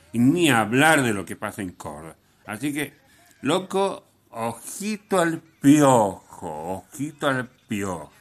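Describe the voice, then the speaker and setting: A man with an Argentine accent hosting a radio show, talking in a blunt, informal, slangy way between opinion and rock introductions. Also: medium pitch. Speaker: radio host.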